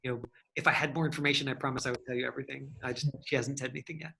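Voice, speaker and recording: A man's voice: medium pitch, fairly even intonation, rapid-fire, creaky, bright, forceful tone, poor audio. A man with a mid-range voice speaks as an acting teacher in an online class. He talks casually and thinks aloud, circling around his points with plenty of hedging.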